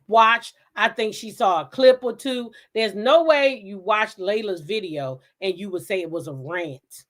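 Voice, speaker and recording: A woman's voice: a little high, sharp tone. A woman hosting a casual live reaction stream, talking in a blunt, profane and emphatic way. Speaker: livestream host.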